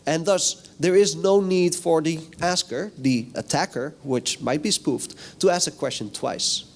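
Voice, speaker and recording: A man's voice: fairly high pitch, a little slow, creaky. A man whose first language is Dutch, giving a casual, chatty technical talk at a conference and putting questions to the audience. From Netherlands. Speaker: conference speaker. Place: hotel conference room.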